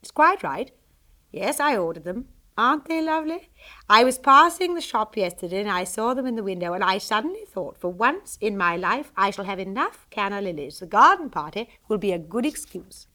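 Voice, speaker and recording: A woman voicing a fussy upper-class mother giving brisk orders to her household. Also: mid-range voice, big pitch swings, sharp tone. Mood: airy. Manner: brisk, commanding, a little flustered.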